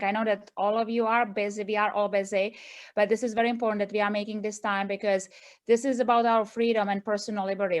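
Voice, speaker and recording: A middle-aged woman from Czechoslovakia with a Czech accent, speaking earnestly to a group as the founder of an advocacy movement and often adding "right?" to her points. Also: medium pitch. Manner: earnest, repeats points with tag questions. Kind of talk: speech to a group meeting.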